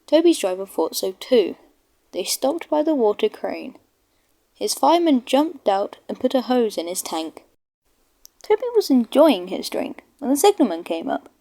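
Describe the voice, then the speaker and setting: A woman's voice: on the high side, very animated, normal speed, creaky, warm tone. A woman narrating a children's storybook from a script.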